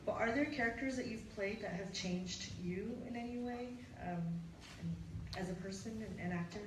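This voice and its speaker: A woman's voice: mid-range voice, normal speed. A woman asking a spontaneous press conference question, tentatively searching for her words. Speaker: audience member asking a question. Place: arts center hall at press conference. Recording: echoey room, distant mic, clear noise.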